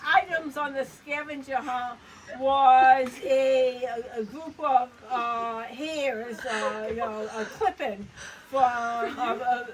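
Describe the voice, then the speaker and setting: A woman's voice: high voice, steady tone, distant mic, a little hiss or hum, rough recording. Older woman with a Maine accent. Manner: rambling, halting storyteller who laughs often. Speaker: interviewee. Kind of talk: casual family interview. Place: front porch outdoors.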